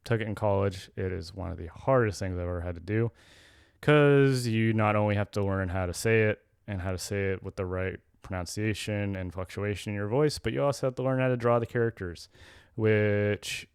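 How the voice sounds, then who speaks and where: A young man with a low voice, chatting casually as co-host of a podcast. He rambles and corrects himself as he goes, with a gravelly edge to his voice. The sound is smooth, close and dry. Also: fairly even intonation, moderate pace, no echo.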